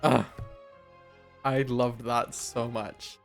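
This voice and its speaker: A man's voice: medium pitch, little pitch movement, drawn-out words, gravelly, soft background music. A man giving casual, exclamatory, gushing reaction commentary while streaming a video game.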